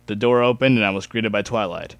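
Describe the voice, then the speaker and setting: A man's voice: lowish voice, a little fast, creaky, clear, resonant tone, no room sound. Young man reading a story, dry sound. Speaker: amateur story reader. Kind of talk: dramatic reading of first-person fan fiction.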